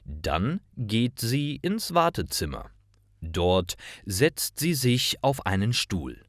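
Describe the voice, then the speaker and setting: A man narrating simple scripted stories for language learners, reading carefully in clearly phrased short sentences. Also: medium pitch, very animated, takes it slowly, fairly bright tone, no echo, clean studio sound.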